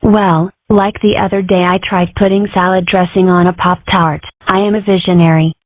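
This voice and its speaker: A woman's voice: on the low side, level tone, normal speed, no echo, lightly acted. A woman plays a self-important little girl from a comic strip dub. She declares things grandly and rambles off into whimsy. The recording is dry and narrow, like a phone line. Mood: grandly self-assured. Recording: muffled, phone-like narrow audio.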